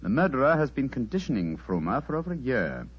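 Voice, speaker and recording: An actor playing a brilliant, self-assured gentleman detective in an old radio drama, precise and urbane. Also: medium pitch, very animated, slightly rough. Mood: grave, assured. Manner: brisk, precise, urbane, didactic. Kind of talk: 1940s radio drama acting. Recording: dull, muffled vintage radio recording.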